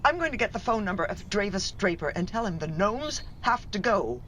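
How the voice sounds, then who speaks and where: A woman playing an exasperated, fussy middle-aged wife and mother in a radio play, sharp-tongued and insistent. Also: mid-range voice, sing-song, a little fast, a little hiss or hum. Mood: indignant.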